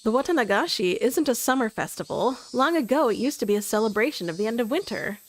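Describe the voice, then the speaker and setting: A woman's voice: a little high, lively intonation, fast talker. A woman voicing a confident, teasing tomboyish teenage girl with a breezy, bossy manner in a story game. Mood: assured.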